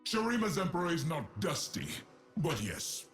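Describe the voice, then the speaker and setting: An actor playing a proud, formal desert emperor from a video game, patiently dry when teased. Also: male voice, fairly high pitch, big pitch swings, normal speed, a bit bright. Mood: haughty, dry. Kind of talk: game character dialogue. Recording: game clip with music underneath.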